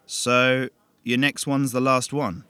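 A man's voice: medium pitch, little pitch movement, takes it slowly, sharp tone, smooth, no room sound, pitched above the performer's own voice. A man voicing a skeptical young man with a dry, sardonic way of talking. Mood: wry. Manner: dry and sardonic, turning urgent.

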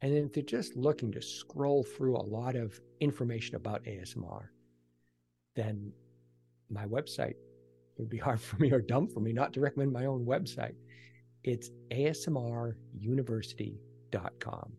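A man's voice: medium pitch, normal speed, creaky, mellow, faint music behind. A man speaking as a podcast guest, giving conversational answers and recommendations. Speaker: podcast guest, ASMR creator and author.

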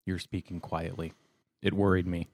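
A man's voice: deep voice, little pitch movement, normal speed, a little mellow, no room sound, clean studio sound. A man co-hosting a podcast and running its sound, talking in a matter-of-fact, technical way. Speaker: podcast co-host and sound engineer. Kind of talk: casual chat. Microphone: studio microphone.

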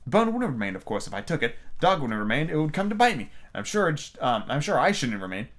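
An earnest young girl from a storybook, voiced by a man, high and lilting. She is polite and puzzled, eager to reason things out. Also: big pitch swings, quick pace, a bit bright.

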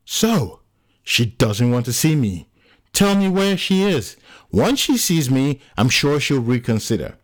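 A man's voice: medium pitch, lively intonation, measured pace, a little mellow, dry recording, clean studio sound. A man voicing an anguished, volatile grieving man in a dramatic audiobook story. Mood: agitated, demanding. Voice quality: gruff when dejected. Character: anguished, volatile man in grief, quick to rage. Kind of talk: dramatic audiobook character acting.

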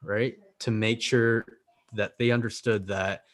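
A man's voice: on the low side, flat delivery, measured pace. A man, whose was Korean, talking as a podcast guest in a reflective, earnest way and often checking in with "right?". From Canada. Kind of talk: casual conversation.

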